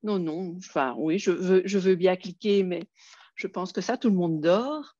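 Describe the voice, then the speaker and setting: A woman's voice: lowish voice, a little slow, dark tone, no room sound, highs cut off. A woman with a Belgian French accent presenting an online workshop, friendly, with many hesitations and fillers.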